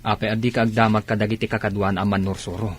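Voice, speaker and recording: Man playing a low-voiced concerned buddy. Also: little pitch movement, fast talker, breathy edge. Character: concerned, probing male friend giving advice. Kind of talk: radio drama acting.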